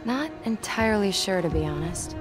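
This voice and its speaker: A woman's voice: mid-range voice, lively intonation, normal speed, over music, close to an everyday voice. An American woman playing a sly, teasing, flirtatious cat burglar in a video game. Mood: guarded. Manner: teasing, coy. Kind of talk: video game cutscene acting.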